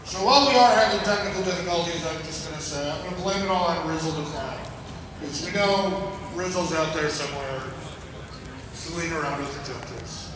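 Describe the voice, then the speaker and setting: Male stage host, high voice, jokey and casual, distant echoey hall sound. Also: brisk, background noise. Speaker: master of ceremonies at an awards induction. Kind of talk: casual live stage hosting. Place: large convention center hall, live event.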